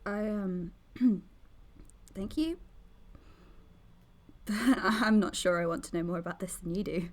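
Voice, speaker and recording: A woman's voice: medium pitch, slow pace, long pauses. A woman acting in an audio drama as a caring, tentative young woman looking after her partner. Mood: tentative, tender.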